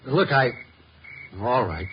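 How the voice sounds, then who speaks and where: A man's voice: medium pitch, animated, slow. An actor playing a defensive, evasive man in trouble, speaking curtly in a 1950s radio drama. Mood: defensive, uneasy. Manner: curt, evasive. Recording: muffled, noisy vintage radio recording.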